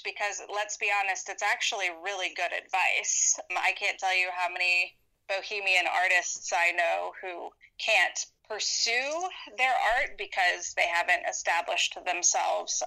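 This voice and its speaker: Bright-voiced American woman, chatty storyteller. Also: fairly low pitch, creaky, low-quality recording. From United States. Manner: chatty, laughs often, warm storytelling. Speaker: interviewed poet and historian. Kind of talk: relaxed conversational interview answers.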